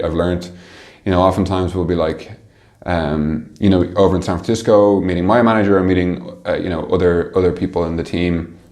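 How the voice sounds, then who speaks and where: Male interview guest, low register, conversational delivery with halting, think-as-you-go phrasing. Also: darkish tone. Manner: hesitant, halting, thinking aloud. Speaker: interview guest, team manager.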